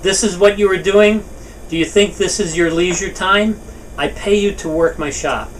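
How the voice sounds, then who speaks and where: A man's voice: high voice, moderate pace, natural voice. Man acting angry, domineering boss, distant mic. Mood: scolding. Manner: scolding, sarcastic. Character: angry, domineering male comics shop boss. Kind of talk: table read of a film scene. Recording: distant mic, noisy room.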